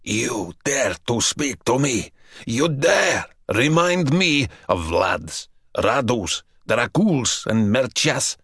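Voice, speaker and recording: A man voicing an ancient buried male vampire who speaks in slow, mocking, archaic phrases. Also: medium pitch, very animated, a bit bright, husky, dry recording, studio quality, full character voice. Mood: menacing. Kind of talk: audiobook character voice.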